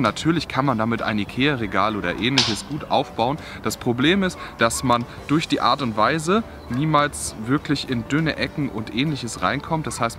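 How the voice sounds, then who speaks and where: A man's voice: medium pitch, background noise. A young man giving a casual hands-on review of outdoor gear, outdoors in a bare forest, thinking aloud as he tries things out.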